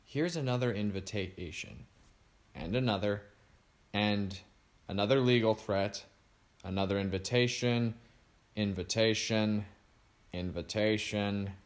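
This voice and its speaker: A man's voice: fairly low pitch, a little slow, long pauses, natural voice. A man reading aloud, voicing a dry, matter-of-fact young woman on a mystery team.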